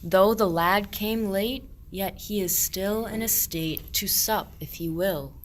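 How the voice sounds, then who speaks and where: A woman playing a grumbling, henpecked male shepherd in a radio play, declaiming verse deliberately. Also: mid-range voice, natural voice. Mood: scolding. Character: grumbling henpecked old-world male shepherd, weary of cold and marriage.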